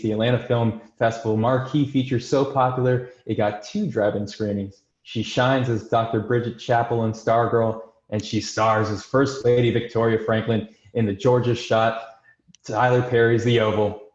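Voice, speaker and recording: A man's voice: medium pitch, darkish tone. A man hosting and reading scripted introductions and screenplay narration, recorded in a roomy, muffled sound. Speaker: host and stage-direction narrator. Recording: roomy, dull-sounding microphone.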